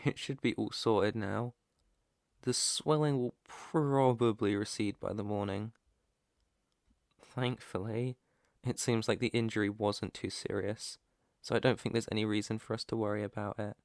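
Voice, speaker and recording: A British man playing a protective, gentle, clueless alpha werewolf in an intimate ASMR roleplay, speaking softly and reassuringly close to the mic. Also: lowish voice, fairly even intonation, dry recording, natural voice. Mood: concerned, gentle. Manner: soft, tender, unhurried, reassuring. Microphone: close microphone, dry.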